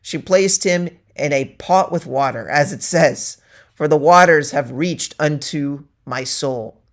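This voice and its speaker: Slow, theatrical man reading aloud. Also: fairly high pitch. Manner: theatrical, deliberate, declaiming quoted verses. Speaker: reader. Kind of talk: dramatic reading of a satirical tract.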